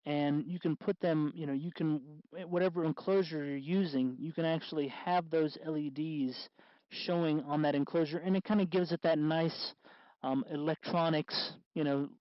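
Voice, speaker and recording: A man hosting a casual hands-on DIY tutorial, explaining in a halting, unscripted way, with slightly muffled sound. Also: a little high, dry recording. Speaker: host of DIY electronics tutorial.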